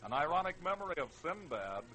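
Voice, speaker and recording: Animated American vintage radio narrator, male. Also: fairly high pitch, fast talker, faint music behind. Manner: emphatic, theatrical. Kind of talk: 1930s-40s dramatic scripted narration.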